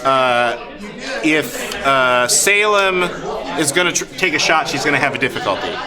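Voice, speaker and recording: A man acting as game master of a live tabletop roleplaying game, narrating and explaining rules casually in halting, broken phrases. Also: medium pitch, lively intonation. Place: large indoor convention hall, live event. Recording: live convention hall with crowd noise.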